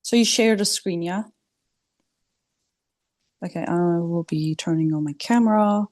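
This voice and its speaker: A young woman in her twenties with a Turkish accent, giving a hesitant online presentation, full of ums, in quick bursts. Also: lowish voice, darkish tone. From Turkey. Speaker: student presenter. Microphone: video call.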